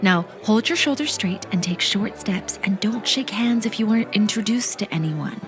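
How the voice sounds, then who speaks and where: A woman playing a proper, fussy teenage elder sister in a period audio play, with a prim, expressive manner. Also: medium pitch, normal speed, over music, close to an everyday voice. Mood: prim, instructing.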